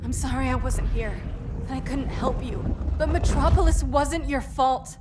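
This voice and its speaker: An actress playing a young alien superheroine, earnest, defiant and compassionate, in a dramatic video game cutscene. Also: female voice, high voice, normal speed, very noisy, natural voice. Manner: earnest, emotional.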